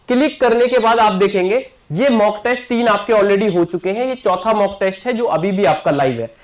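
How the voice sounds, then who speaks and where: A man speaks as an exam coaching teacher addressing his students. He repeats key points and keeps checking in with his listeners. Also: high-pitched. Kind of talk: promotional class announcement.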